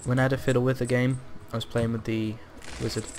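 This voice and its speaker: A man's voice: medium pitch, flat delivery, quick pace, darkish tone. A man chatting casually as he plays a co-op video game. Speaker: gamer in a co-op let's play. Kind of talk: casual gameplay chat. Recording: game music and keyboard clatter behind. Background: game music, keyboard typing.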